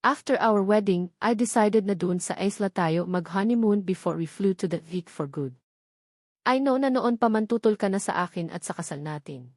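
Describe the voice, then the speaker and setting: A woman with a Filipino accent voicing a serious, soft-spoken, possessive billionaire man in an audiobook romance, earnest and switching between two languages. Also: mid-range voice, moderate pace, no room sound, clean studio sound, close to an everyday voice. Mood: serious, regretful.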